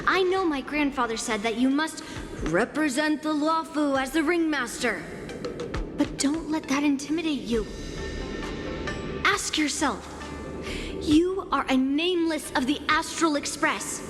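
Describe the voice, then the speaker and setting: A woman playing a confident, blunt but caring young girl swordswoman in a video game, brisk and commanding. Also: high-pitched. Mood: encouraging, earnest. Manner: brisk, commanding, self-assured. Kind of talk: video game character acting. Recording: long reverb, music behind.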